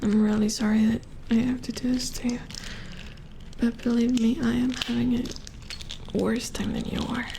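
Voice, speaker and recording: A woman playing a puzzled, mildly grumbling robot repair engineer in a soft-spoken ASMR roleplay, hushed and halting as if muttering to herself. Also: on the high side, little pitch movement, gravelly, background noise. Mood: puzzled, focused.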